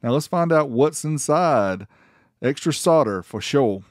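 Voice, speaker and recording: A man's voice: medium pitch, expressive, measured pace, no echo, clean studio sound. A man giving a casual, informal product review voice-over as the host, with a folksy way of talking. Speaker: host reviewing car audio amplifiers.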